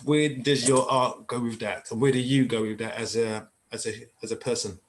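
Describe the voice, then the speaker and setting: Halting male interview host. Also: mid-range voice, fairly even intonation, slight background noise. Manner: halting, many uhs and restarts. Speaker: podcast host. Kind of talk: interview hosting.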